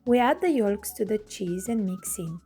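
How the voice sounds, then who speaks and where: A woman from Romania, hosting her cooking channel and narrating a recipe in clear step-by-step instructions from her home kitchen. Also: medium pitch, expressive, normal speed, mellow, faint music behind.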